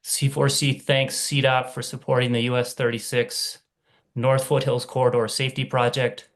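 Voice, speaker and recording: A man's voice: medium pitch, completely flat delivery, normal speed. A man from a nonprofit giving a plain, matter-of-fact public comment over a video call. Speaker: nonprofit advocate.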